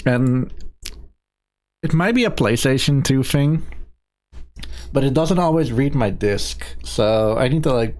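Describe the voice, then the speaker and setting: A man with a medium-pitched voice gives live speedrun commentary. He talks casually and informally to viewers. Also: expressive, measured pace, pauses often, darkish tone.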